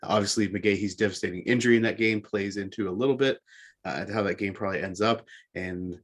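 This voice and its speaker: Male sports podcast co-host, lower register, giving casual countdown commentary. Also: a little fast.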